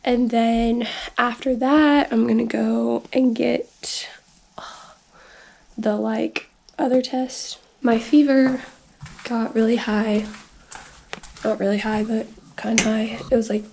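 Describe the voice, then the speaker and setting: A woman with a congested, sick-sounding voice talks to her camera in a rambling video diary. Her voice sits a little high and has a gravelly, fry-heavy edge. She speaks slowly and leans on filler words. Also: fairly even intonation, a little hiss or hum. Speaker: vlogger. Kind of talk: casual personal video diary.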